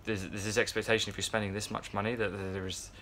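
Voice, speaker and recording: A man's voice: lowish voice, little pitch movement, fast, pushed and bright, a little hiss or hum. A man in his twenties, chatting casually to a phone camera in a yacht crew room, rambling with lots of fillers and restarts. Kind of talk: casual vlog chat to camera. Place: yacht crew area, indoors, fan nearby. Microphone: phone camera at arm's length.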